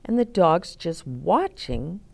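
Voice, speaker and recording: A woman hosts a children's story time in a slightly low voice that swings widely up and down. She takes her time, speaking slowly and expressively as she reads aloud. She explains things in simple words and puts questions to her young listeners. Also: sing-song, vocal fry, darkish tone.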